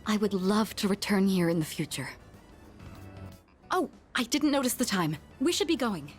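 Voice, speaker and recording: A woman's voice: mid-range voice, sing-song, moderate pace, soft background music, natural voice. An actress playing a well-bred, earnest young woman in a video game, speaking formally and politely with naive curiosity and the odd French phrase. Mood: warm, wistful.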